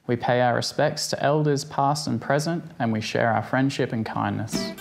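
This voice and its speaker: A man in his twenties giving a formal, measured and earnest scripted reading. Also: mid-range voice, vocal fry. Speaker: library staff member giving acknowledgement of country. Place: children's library corner, indoors. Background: guitar music.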